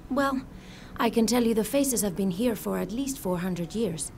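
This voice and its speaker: Creaky-voiced local woman researcher. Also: mid-range voice, animated, normal speed, a little mellow, a little hiss or hum. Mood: confident, wry. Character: confident local woman researcher, knowing and wry. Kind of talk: video game dialogue.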